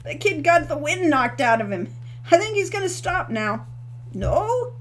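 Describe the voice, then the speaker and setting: A woman voicing a coarse older male stable master in an audiobook, loud and jeering. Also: sits high, big pitch swings, unhurried, a little hiss or hum, pitched above the performer's own voice, full character voice.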